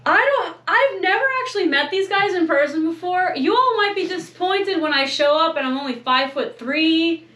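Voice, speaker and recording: A young woman co-hosting a casual chat, self-mocking and quick to laugh. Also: very high voice, expressive, a bit bright, reverberant. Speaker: co-host.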